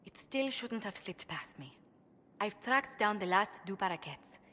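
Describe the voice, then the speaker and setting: A woman's voice: mid-range voice, animated, measured pace, pushed and bright. Refined lady diplomat in an echoing hall. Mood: troubled, earnest. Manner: polite, formal, principled. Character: refined, earnest, diplomatic young female ambassador. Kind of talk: video game cutscene acting. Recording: long hall reverb, faint noise.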